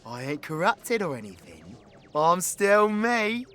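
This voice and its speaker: A man's voice: high voice, sing-song, takes it slowly, pushed and bright, soft background music, exaggerated voice. A British man voicing a cocky, foul-mouthed supervillain in an audio roleplay, with a crude, theatrical and menacing manner. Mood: brooding, confiding. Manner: swaggering, crude, theatrical, dropping into menacing whispers. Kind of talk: ASMR audio roleplay acting.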